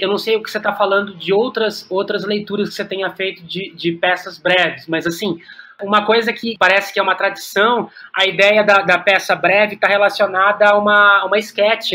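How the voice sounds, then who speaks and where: A man's voice: high voice, fairly even intonation, fairly quick, pushed and bright. A man with a Brazilian accent talking reflectively as an interview guest, repeating words as he thinks aloud. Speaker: guest playwright.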